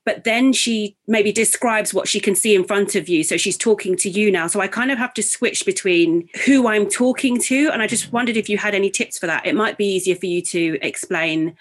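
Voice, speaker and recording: A woman's voice: medium pitch, brisk, few pauses. A woman in an audience asking an earnest, detailed question about voiceover technique. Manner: explains at length, earnest.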